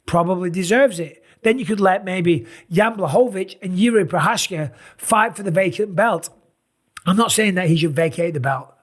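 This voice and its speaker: A middle-aged man with a raspy, sore voice, tired and talking in an animated, casual way as he breaks down fight matchups. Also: high-pitched. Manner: animated, emphatic, rambling asides. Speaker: MMA analyst and channel host. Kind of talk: casual fight commentary to camera.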